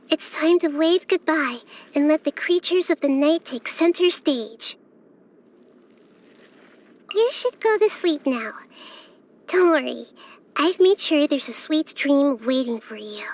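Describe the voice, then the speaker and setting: A woman voicing a wise, gentle, curious child-like goddess in a video game, with a light youthful voice and earnest, thoughtful phrasing. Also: high-pitched, expressive, takes it slowly, pauses often. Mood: gentle, bright. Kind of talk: video game character voice lines.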